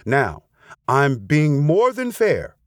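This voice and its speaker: A man's voice: fairly high pitch, huge pitch swings, slow, no room sound, studio quality, voice pushed up, heavily acted. A man voicing a wily, haughty female fox who bullies and schemes, in a storybook tale. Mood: smug, bargaining. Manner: haughty, bullying. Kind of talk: storybook character voice.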